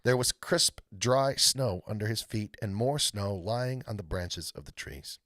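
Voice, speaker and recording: A man reading a storybook aloud as a live host, narrating dramatically with deliberate pauses. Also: fairly low pitch, a bit bright, dry recording, studio quality. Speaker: read-aloud storyteller hosting a live stream.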